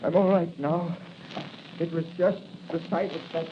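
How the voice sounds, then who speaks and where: Actor as a high-voiced, frightened young condemned man. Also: steady tone, moderate pace, lightly acted. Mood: shaken. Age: young adult. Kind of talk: 1940s radio acting. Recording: noisy, muffled 1940s radio recording.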